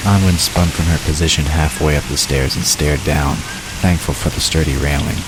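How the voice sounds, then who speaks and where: A man's voice: a bass voice, fairly even intonation, moderate pace, over loud music, rough recording. A man reading scripted story narration for an audio drama, with watery ambience behind him. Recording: constant rain-like hiss behind voice.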